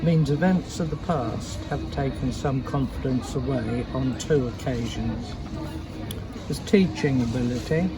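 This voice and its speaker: A man's voice: mid-range voice, a little slow. An older man giving a palm reading in clipped, matter-of-fact pronouncements, recorded outdoors. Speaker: palm reader. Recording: very noisy street, traffic and bystanders.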